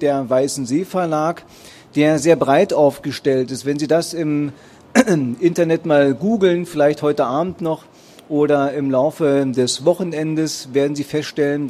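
A man's voice: fairly high pitch, dark tone. A tired man with a Berlin accent presenting books at a fair, speaking hesitantly with many ums and uhs. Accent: Berlin German. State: tired after a long fair day. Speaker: publisher presenting at a book fair stage. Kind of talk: spontaneous presentation to an audience. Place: book fair hall.

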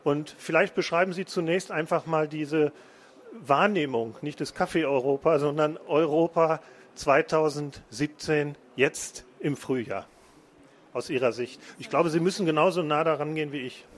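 A man's voice: a little high, moderate pace. A man moderating a panel discussion, asking long, abstract, winding questions.

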